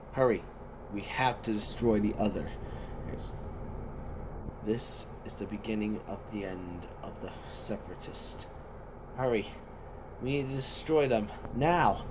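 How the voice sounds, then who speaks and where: A man voicing an excitable soldier commander in amateur sci-fi video-game voice lines, giving urgent, halting battle callouts. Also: fairly low pitch, noisy background, pitched below the performer's own voice, natural voice. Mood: brisk, urgent.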